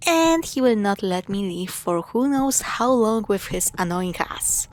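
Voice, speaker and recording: A woman playing a teasing, affectionate young girlfriend in an intimate scripted audio roleplay, with sarcastic banter and hushed asides. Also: mid-range voice, slight background noise, natural voice. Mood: playful, teasing.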